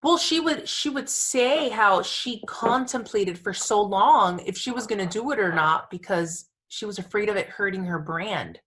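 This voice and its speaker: A woman's voice: mid-range voice, animated, normal speed, few pauses, a bit bright. Gushing, chatty woman podcast host. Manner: gushing, emphatic, informal with frequent "like" and "you know". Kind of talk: casual chatty discussion.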